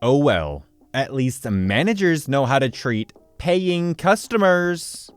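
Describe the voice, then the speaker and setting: A man narrating a story he read online, in an animated storytelling manner. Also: medium pitch, slow pace, smooth, no room sound. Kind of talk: reading an online story aloud. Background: soft background music.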